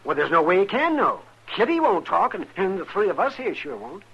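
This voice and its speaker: An actor playing a crusty, excitable, talkative frontier town doctor with a raspy, sharp voice in an old radio western. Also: male voice, high-pitched, a little fast, exaggerated voice. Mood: anxious. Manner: crotchety, animated. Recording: muffled old radio broadcast.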